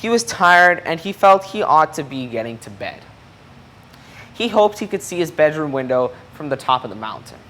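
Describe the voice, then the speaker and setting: A reader with a mid-range voice presents a children's picture book. The delivery is expressive and explanatory, turning to young listeners with questions and asides. Some noise sits behind the voice. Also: mid-range voice, normal speed. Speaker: storybook reader.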